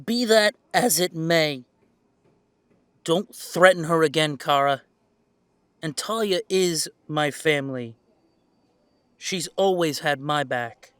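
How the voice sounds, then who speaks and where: A man playing an earnest young adult werewolf son in an audio drama, halting but indignant as he stands up for himself. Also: on the high side, a bit bright, clean tone, no echo. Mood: protective, indignant. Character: defiant grown werewolf son, earnest and protective. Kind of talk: scripted audio drama acting.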